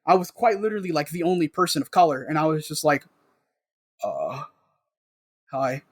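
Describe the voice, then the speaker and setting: A young man from the South Bronx in New York telling personal stories casually as an interview guest, in an animated, slangy way. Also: fairly high pitch, moderate pace, husky, no room sound, clean studio sound. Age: young adult. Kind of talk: casual conversational storytelling.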